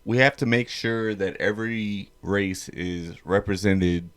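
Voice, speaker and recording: Man, podcast host, low voice, slow, laid-back halting questions. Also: fairly even intonation, a bit bright, smooth. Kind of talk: casual chat.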